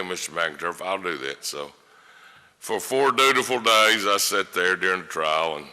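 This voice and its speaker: A man giving an informal eulogy, telling folksy anecdotes in a halting way to a gathering in a large hall. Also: low voice, pushed and bright. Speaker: criminal defense lawyer giving a eulogy. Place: large indoor hall before an audience. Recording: echoey hall with long reverb.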